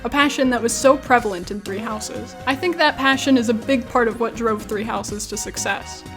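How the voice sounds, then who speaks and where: A high-voiced woman hosts a scripted YouTube commentary. She talks in a wry way with self-deprecating asides, over background music. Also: moderate pace. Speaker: video essayist, YouTube host.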